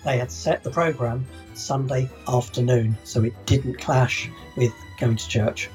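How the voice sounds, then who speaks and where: A man's voice: medium pitch, steady tone, unhurried, a little mellow, soft background music. A man co-hosting a podcast, chatting casually and thinking aloud as he goes. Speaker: podcast co-host. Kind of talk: casual chat.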